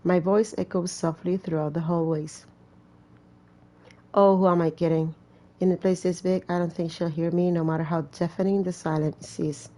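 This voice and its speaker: A woman's voice: lowish voice, steady tone, moderate pace, dark tone. A woman doing casual gameplay commentary, reading a story aloud with chatty, joking asides. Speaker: gamer playing and reading aloud a horror visual novel.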